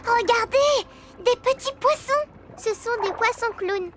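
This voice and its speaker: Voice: very high voice, a little slow, heavy background noise. An actor voicing a cheerful, curious little girl pig in a French children's cartoon dub, exclaiming in a childlike way. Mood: excited, curious. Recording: music behind the voice. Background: cartoon music.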